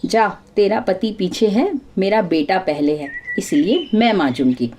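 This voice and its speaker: A woman's voice: mid-range voice, expressive, measured pace, mellow, slight background noise, close to an everyday voice. Woman as a bossy, questioning older mother-in-law. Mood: assertive. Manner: questioning, scolding, assertive.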